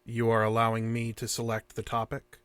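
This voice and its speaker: An actor playing a formal, coolly persistent alien interrogator in an audio drama, speaking in stilted, precise phrases. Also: male voice, mid-range voice, monotone, fairly quick, a bit bright, clean tone, no room sound, natural voice. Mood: cool, probing. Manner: stilted, precise, avoids contractions.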